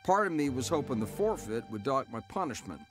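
A man's voice: mid-range voice, big pitch swings, brisk, over music, lightly acted. An actor playing a man who reflectively narrates his own past in a radio drama. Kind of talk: first-person dramatised narration.

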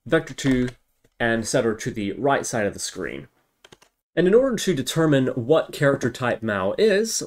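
A man's voice: mid-range voice, slight background noise. Man talking through a coding tutorial. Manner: step-by-step, thinking aloud while working. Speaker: tutorial instructor. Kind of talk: informal screen-recorded coding tutorial.

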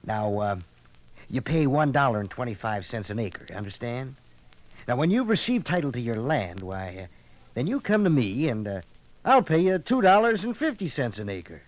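Halting, shrewd lumber agent, played by a man. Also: mid-range voice, big pitch swings, lightly acted, poor audio. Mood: coaxing, instructing. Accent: American. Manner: halting, folksy, full of hesitations. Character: hesitant, shrewd lumber company agent instructing settlers. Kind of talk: 1950s radio acting. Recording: vintage 1950s radio LP recording.

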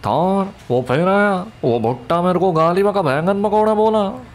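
A man's voice: sits high, normal speed, lightly acted. A man voicing a small, cheeky alien sidekick in an amateur film dub, whiny and slangy. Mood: complaining. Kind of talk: amateur Hindi film fandub acting. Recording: clear background noise with film ambience.

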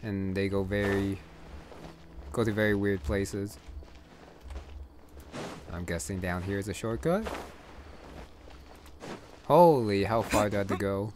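A man's voice: fairly low pitch, takes it slowly, pauses often, background noise. A man giving casual gameplay commentary, rambling and thinking aloud. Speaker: gamer, let's play host.

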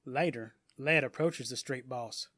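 A man's voice: mid-range voice, unhurried, bright, forceful tone, dry recording. A man reading scripted story narration in a dramatic audiobook style.

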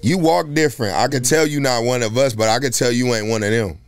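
A man in his thirties, a podcast guest, talking in a laid-back, streetwise, slangy way into a boom mic on a studio set. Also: fast. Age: 30s. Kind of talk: casual comedic chat. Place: studio set with red curtains. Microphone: boom mic on arm.

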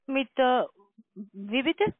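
Female call agent in her thirties, halting and broken, over a tinny, dry phone line. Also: high-pitched, animated, no room sound. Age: 30s. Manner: halting, struggles with the language. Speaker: scam call-center agent.

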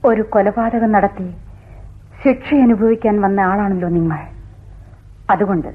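A woman's voice: mid-range voice, moderate pace, lightly acted. An actress playing an anguished, accusing woman convict haunted by her killings, in a theatrical, declamatory radio drama style. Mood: intense, confiding. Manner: dramatic, declamatory, swinging from hushed to crying out. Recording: tinny, phone-like old radio recording.

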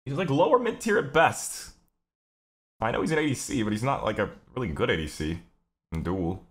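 A man's voice: medium pitch, big pitch swings, a little fast. A man giving casual gameplay commentary as a streamer, griping with exclamations and swearing. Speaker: video game streamer.

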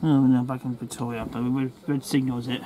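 A man's voice: level tone, fairly quick. A man on a train, chatting with a friend and ending his remarks with tag questions. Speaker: railway enthusiast filming the trip. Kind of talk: casual chat. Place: heritage train carriage, indoors. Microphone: handheld phone camera. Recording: loud train rumble behind.

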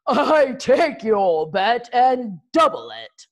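A woman voicing a sly rival Viking chief who asks mocking questions in a slow, raised voice. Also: a little high, big pitch swings, voice pushed up, heavily acted.

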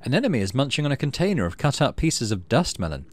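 A man narrating a game playthrough casually, reading text aloud and thinking out loud in an easygoing way. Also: mid-range voice, sing-song, quick pace. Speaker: gaming video host.

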